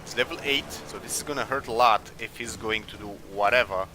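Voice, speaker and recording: Low-voiced man doing casual game commentary. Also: brisk, noisy background. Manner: hesitant, thinking aloud. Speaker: gaming video host. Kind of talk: casual let's play commentary. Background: game music.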